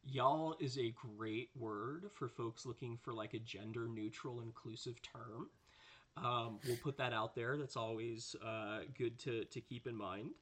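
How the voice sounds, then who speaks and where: Podcast host guy, bright voice, mid pitch, lots of um and like. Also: fairly even intonation, measured pace. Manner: easygoing questions with fillers. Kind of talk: casual chat.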